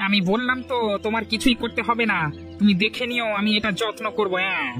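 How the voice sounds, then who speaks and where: A man's voice: high voice, steady tone, fast, music in the background, lightly acted. A man voicing a kind, stubborn poor village husband in a cartoon, earnest and protesting. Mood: indignant. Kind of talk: Bengali cartoon story dialogue.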